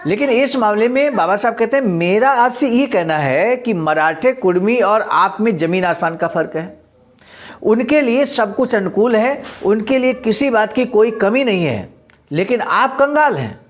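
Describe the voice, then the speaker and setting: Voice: mid-range voice, animated, normal speed. Didactic presenter reading and explaining a speech. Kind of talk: read-aloud speech with explanatory commentary.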